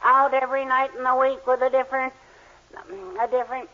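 An actor playing a meek, kindly middle-aged husband, henpecked and hesitant, in a 1940s radio sitcom. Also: male voice, extremely high pitch, monotone, brisk. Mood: pleading, flustered. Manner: hesitant, hemming and hawing. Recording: muffled 1940s radio broadcast recording.

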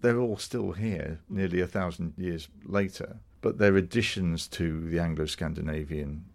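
A man's voice: low-pitched, normal speed, gravelly, a little mellow. A man hosting a conversational interview, putting questions and summing up points for his guest. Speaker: podcast host, interviewer.

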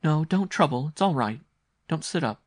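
A man's voice: fairly high pitch, animated, normal speed, dry recording, studio quality, pitched above the performer's own voice. A man voicing an anxious, reluctant mother with a tense, clipped way of talking in a story reading. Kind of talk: dramatised audiobook reading.

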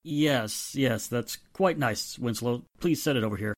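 A woman playing a formal, condescending well-to-do gentleman in a radio drama. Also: very deep voice, a little slow. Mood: polite, evasive. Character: suave, dismissive well-to-do gentleman, nephew of victim.

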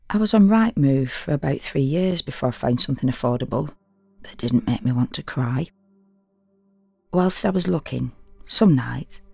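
An actress playing a frank, wry, solitary woman in an audio drama monologue, confiding in an intimate, deadpan way in short, halting phrases. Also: low-pitched, vocal fry, husky, no room sound, studio quality, heavily acted. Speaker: actor performing a solo audio drama. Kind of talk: first-person dramatic monologue, confessional and conversational.

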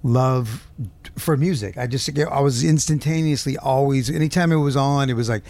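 A man's voice: medium pitch, moderate pace, darkish tone, no echo. A man from Southern California, a guest musician in a casual interview, rambling and searching for words. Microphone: handheld mic held close.

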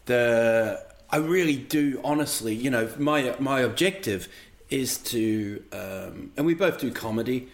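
A man, a comedian from England or New Zealand, chatting casually, halting and searching for his words. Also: medium pitch. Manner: halting, searching for words, frequent agreeing interjections. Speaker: comedian co-host. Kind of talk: casual chat. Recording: roomy echo.

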